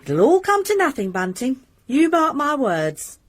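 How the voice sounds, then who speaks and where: An actress playing a practical, sharp-tongued married landlady in a radio drama, brisk and scolding. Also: sits high. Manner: brisk, scolding, lively intonation.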